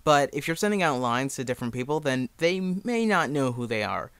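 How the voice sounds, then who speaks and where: A man's voice: mid-range voice, lively intonation, fairly quick, a bit bright, smooth, dry recording. A young man giving a casual, informal tutorial as an amateur, talking in a self-deprecating way. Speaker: amateur fan dub creator giving a tutorial.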